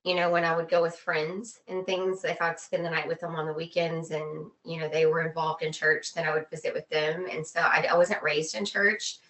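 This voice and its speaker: A woman being interviewed, giving a casual personal account in halting, deliberate phrases. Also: deep voice, little pitch movement, a bit bright, rough recording. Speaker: interview guest.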